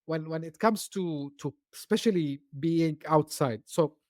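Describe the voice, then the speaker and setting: A male podcast host who is not a native English speaker chats loosely and keeps dropping in "you know," his voice sitting a bit high. The sound is close and dry, with no room around it. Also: unhurried, darkish tone, no echo. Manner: talkative, loose phrasing full of "you know". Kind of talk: casual interview chat.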